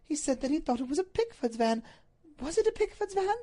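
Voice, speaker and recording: A woman voicing an anguished, highly strung duchess in a storybook reading, pleading and breathless in a melodramatic way. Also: big pitch swings, a little fast, mellow. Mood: puzzled.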